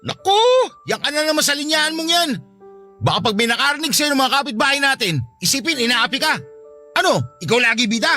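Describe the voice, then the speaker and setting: A woman's voice: high-pitched, pushed and bright. A woman playing a lazy, defensive young Filipino husband in a radio drama, arguing in a whiny, sarcastic way.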